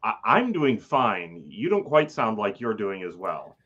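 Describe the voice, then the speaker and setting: Low dry-humored man on a dull line. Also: sing-song, unhurried, fairly bright tone, breathy edge. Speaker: podcast co-host. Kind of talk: casual conversation.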